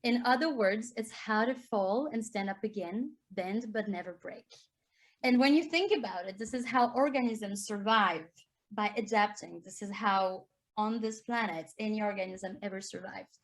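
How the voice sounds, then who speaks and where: A woman from France with a French accent, giving an earnest, explanatory conference talk as a primary school teacher. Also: moderate pace. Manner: earnest, explanatory, rises in pitch to stress key points.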